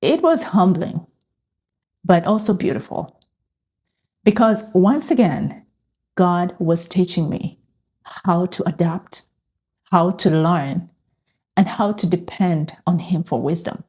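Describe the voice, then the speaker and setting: A middle-aged woman from Uganda sharing a calm, reflective personal faith testimony. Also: lowish voice, slow, long pauses, husky. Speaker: faith and lifestyle vlogger.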